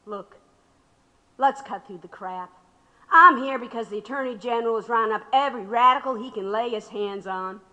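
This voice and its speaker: A woman's voice: fairly high pitch, normal speed, sharp tone, long reverb tail, dull audio. An actress playing a sardonic, unyielding older woman labor radical under questioning in an audio drama.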